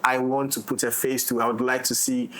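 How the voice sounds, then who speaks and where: A man speaks in a casual roundtable discussion. His pitch sits in the middle of the male range. He talks reflectively as a director, often repeating and rephrasing as he goes. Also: flat delivery, a little fast, fairly bright tone. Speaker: audio drama director.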